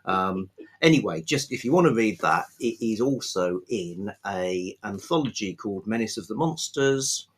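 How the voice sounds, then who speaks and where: Male book vlogger, lower register, slightly rough tone, unscripted, halting but enthusiastic delivery. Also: lively intonation. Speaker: book reviewer, video host. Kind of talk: unscripted book talk to camera.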